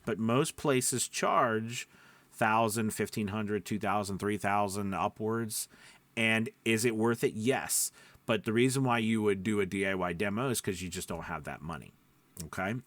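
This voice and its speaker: A man's voice: on the low side, fairly bright tone, no room sound. A man talking casually to camera as a coach and host, in a rambling, conversational way, often checking in with the listener. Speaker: voice-over coach hosting a video.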